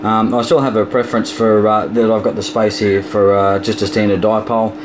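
A man's voice: lowish voice, level tone, brisk. A man chatting casually as an amateur radio operator during a contact. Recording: clearly noisy room recording by radio.

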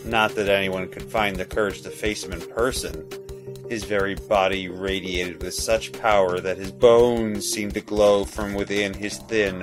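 A man reading scripted, dramatic fantasy narration for an audio drama. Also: sits low. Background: music.

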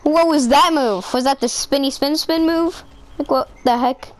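Woman doing a boastful old goat-turned-T-Rex, high, taunting, dry close sound, game machinima. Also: moderate pace, dry recording, lightly acted. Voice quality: high-pitched voice. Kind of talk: amateur game machinima voice acting.

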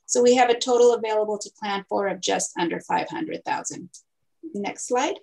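A woman in her thirties gives a council staff report over a video call. She is clear and methodical and reads out figures steadily. She speaks at an ordinary middle pitch. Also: low-quality recording. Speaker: city housing and economic development manager.

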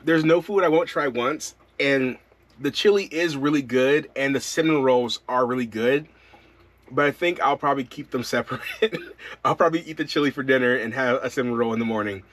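A man in his thirties, a van-life vlogger, chatting casually to camera inside his camper van in a relaxed, rambling way with lots of fillers. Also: medium pitch, a little fast.

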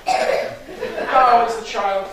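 A man's voice: very high voice, expressive, very slow, fairly bright tone, heavily acted. Guy playing an oily melodrama villain, declaiming on stage, echoey hall, mic way back in the crowd. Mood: smooth. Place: small theatre stage. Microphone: far camera in audience. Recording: echoey hall, far-off mic, audience noise.

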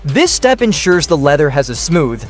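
Male voice-over for a factory documentary, high and animated, faint music bed. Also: normal speed. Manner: steady explanatory delivery in short listed phrases. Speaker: documentary narrator. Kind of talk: scripted documentary narration.

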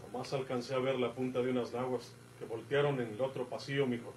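A man's voice: medium pitch, fairly even intonation, fast talker, lightly acted. An actor playing an older, rustic Mexican outlaw father, folksy and evasive, in an old radio drama. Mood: hushed, confiding. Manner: folksy, rural colloquial speech. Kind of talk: golden-age Mexican radio drama acting. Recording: noisy vintage radio recording.

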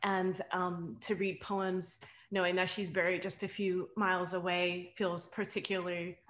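An American woman, a guest poet, speaking informally and earnestly over a video call, with halting phrasing. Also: fairly low pitch, little pitch movement, fairly bright tone, a little hiss or hum. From Delaware, United States. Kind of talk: informal introduction to a reading. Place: bright room at home, indoors. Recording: roomy, echoing video-call audio.

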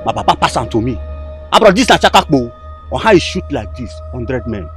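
A man's voice: mid-range voice, a little fast, faint music behind. An actor playing a boastful young Nigerian street gunman who shows off his old gun, speaking with a Nigerian Pidgin accent. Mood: scolding. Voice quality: swaggering, lively, emphatic. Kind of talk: comedy skit acting.

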